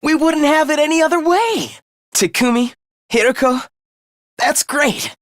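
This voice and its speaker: Smug young male game villain, theatrical, mock-polite, high bright voice, swooping delivery. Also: animated, a little slow, no room sound, studio quality, heavily acted. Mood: earnest. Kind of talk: English video game voice acting.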